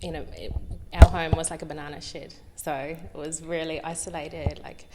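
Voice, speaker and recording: An Australian woman, a guest artist, talking candidly in an interview, with many pauses, fillers and restarts. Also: low-pitched, level tone, long reverb tail, very noisy. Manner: hesitant, lots of fillers and restarts.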